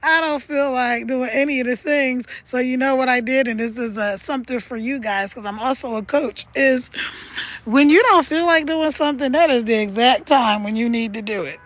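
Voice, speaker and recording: A woman in her sixties with a high, bright, gravelly voice, talking into her phone outdoors. She coaxes and pushes her viewers in an upbeat way, like a coach on a casual livestream. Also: normal speed. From United States. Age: 60s. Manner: upbeat and pushy, coaxing viewers. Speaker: online marketing coach. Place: outdoors on a mountain road at night.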